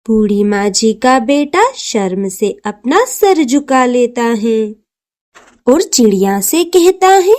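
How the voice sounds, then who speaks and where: A woman's voice: a little high, sing-song, slow, a little mellow. A woman narrating a scripted children's cartoon story.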